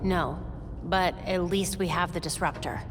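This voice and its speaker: An actress playing a determined, earnest crimefighter in a video game cutscene. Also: female voice, on the low side, steady tone, measured pace, noisy background, close to an everyday voice. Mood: resolute. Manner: earnest, resolute. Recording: under very loud music.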